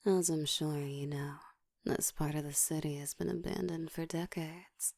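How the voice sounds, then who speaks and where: A woman voicing a seductive, dominant ancient vampire in an intimate ASMR roleplay, teasing and commanding, often slipping into a soft whisper. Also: steady tone, measured pace, dry recording, studio quality, full character voice. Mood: teasing, predatory. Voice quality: soft, breathy whispering mixed with low murmur.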